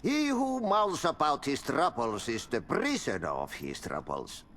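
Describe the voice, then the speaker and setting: An older, cryptic Finnish janitor from a video game. His cheerful, folksy delivery swings wildly up and down in pitch and takes its time. The voice rings in a long, cavernous reverb. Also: huge pitch swings, a little slow, fairly bright tone, slight background noise, full character voice. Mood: musing, cryptic. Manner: folksy riddles, sing-song drawl.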